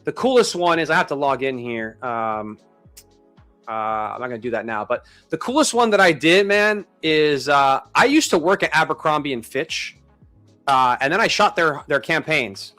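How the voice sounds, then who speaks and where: A man's voice: fairly high pitch, animated, moderate pace, sharp tone, faint music behind. A man of thirty-nine chatting casually as a live stream host, with informal, slangy bro-talk. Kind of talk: casual chat.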